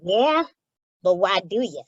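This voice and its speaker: A woman's voice: medium pitch, very animated, slow pace, husky, no echo, full character voice. Woman playing brash stool pigeon Piggy, dull dry sound. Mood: grumbling reluctance. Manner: slangy, brash, grumbling. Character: streetwise, pushy, indignant small-time informant called Piggy. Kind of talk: old-time radio gangster-comedy acting.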